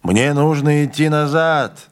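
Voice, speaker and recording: A man voicing a middle-aged male train conductor who shouts urgently over the noise. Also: a little high, takes it slowly, clean tone, voice pushed up.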